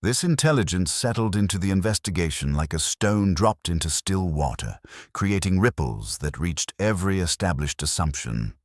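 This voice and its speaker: A man reading a cosy mystery as scripted narration in a measured, wry manner. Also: sits low, animated, no room sound, studio quality.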